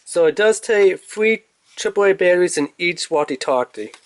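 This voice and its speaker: A man's voice: high-pitched, expressive, moderate pace. A man giving casual, off-the-cuff commentary as he reviews a toy in an unboxing video. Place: indoors at a table.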